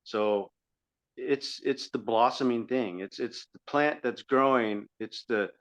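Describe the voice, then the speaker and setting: A man's voice: mid-range voice, slow pace. A man co-hosting a podcast, giving argumentative, critical political commentary in a conversational style. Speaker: podcast co-host. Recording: dull lo-fi audio.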